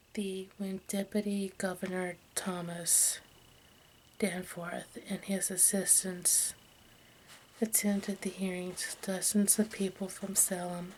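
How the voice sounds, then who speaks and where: A woman reading aloud in ASMR style in a soft, breathy whisper and hushed speech, halting as she goes. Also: mid-range voice, poor audio. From United States. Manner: halting, stumbles over names and words. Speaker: ASMR video creator. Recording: faint background noise.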